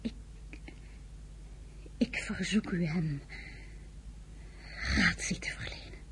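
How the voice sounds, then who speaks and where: A woman's voice: on the low side, animated, slow pace, a bit bright, whispered. A woman playing an anxious, earnest young wife and mother in a radio drama, with a hesitant way of talking. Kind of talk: Dutch radio drama acting with first-person narration.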